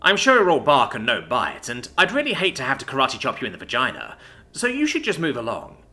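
Audiobook hero: defiant, sarcastic young man, as a narrator plays him, casual, condescending, animated delivery. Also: medium pitch, a little fast. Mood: cocky.

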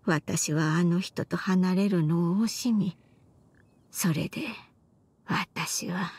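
A woman's voice: fairly low pitch, steady tone, slow, a little mellow, husky, no room sound, heavily acted. An actress playing a remorseful old grandmother in a Japanese game, confessing her past in an archaic elderly way of speaking. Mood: wistful, tender. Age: older. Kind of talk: Japanese game voice acting, dramatic monologue.

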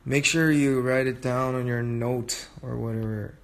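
A man's voice: mid-range voice, measured pace. Young guy, casual late-night phone livestream, reads comments and chats back, laid-back. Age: young adult. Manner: relaxed, chatty, switching between English and Korean. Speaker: livestream host. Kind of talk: casual live stream chat, reading viewer comments aloud. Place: dim room indoors at night. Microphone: phone held close at arm's length.

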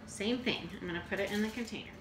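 A woman in her thirties casually showing her meal prep at home, talking in an unhurried way with many fillers. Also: medium pitch. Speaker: fitness coach vlogger. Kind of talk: casual how-to vlog. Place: home kitchen, indoors. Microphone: camera on the counter. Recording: echoey kitchen with room noise.

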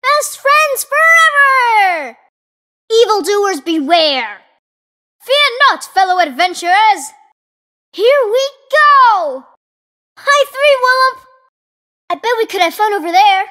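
A plucky young boy hero from a video game, with a very high, wildly animated voice. He is exuberant and playful, calling out bravely in unhurried, bright tones. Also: heavily acted. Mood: excited, heroic. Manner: exuberant, playful, heroic exclamations. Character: excitable, brave young boy adventurer with a yeti friend.

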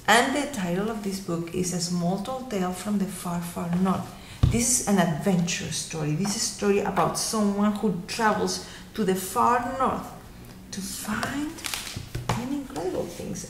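A woman in her thirties from Mexico with a Mexican Spanish accent, answering interview questions at home in a gentle storytelling tone for children. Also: lowish voice, gravelly. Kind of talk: casual answers to a video interview. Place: living room at home. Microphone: laptop webcam. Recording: roomy home webcam recording, faint hiss.

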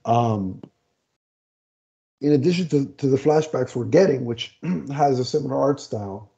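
A man in a home room rambles through a comic review, stopping often to hunt for the right word. His voice is very dark and mellow. It is captured through a headset on a dull, lo-fi video call. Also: mid-range voice, lively intonation, a little slow, vocal fry. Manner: rambling, often searching for words. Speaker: podcast co-host. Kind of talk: casual comic review chat.

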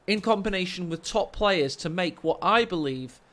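A man with a deep voice casting a strategy game live, excitable and joking, speaking into a desk microphone in a small room at home. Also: normal speed. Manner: excitable, jokey, rising with the action. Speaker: game caster. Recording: game sound effects under the voice. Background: game gunfire and ambience.

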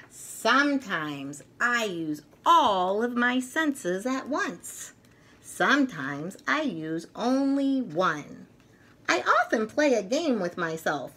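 A middle-aged woman reads and teaches to young children in an expressive, deliberate, sing-song storytelling manner. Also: medium pitch. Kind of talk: picture book read-aloud for young children. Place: furnished room at home, indoors.

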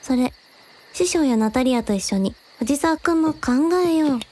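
A woman's voice: sits high, animated, moderate pace, a little mellow. A woman playing a sleepy, childlike yet bossy young woman who gives quaint orders in a radio drama. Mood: decisive.

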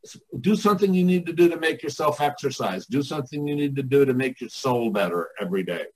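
A man's voice: mid-range voice, expressive, quick pace, darkish tone. An older man chatting casually and motivationally as a coach, candid, folksy and given to swearing. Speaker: artists' coach and mentor, podcast guest.

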